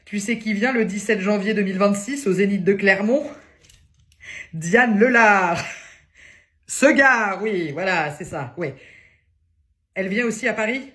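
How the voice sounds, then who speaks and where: A woman's voice: medium pitch, unhurried, pauses often, natural voice. A woman doing a brash, crude provincial auntie. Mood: teasing. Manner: loud, crude, teasing, one-sided phone rant. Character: brash, crude middle-aged aunt from the provinces. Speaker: comedian. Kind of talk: comedy sketch monologue.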